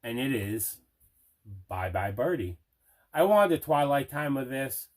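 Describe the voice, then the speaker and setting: A man's voice: medium pitch, sing-song, a little slow. A man hosting a casual unboxing video as a collector, talking in an enthusiastic, conversational way. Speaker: movie collector, video host.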